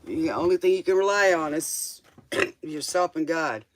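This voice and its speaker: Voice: mid-range voice, expressive, a little slow, background noise. A livestream tarot host chatting casually in a rambling, informal way, drifting between thoughts and tossing out questioning asides.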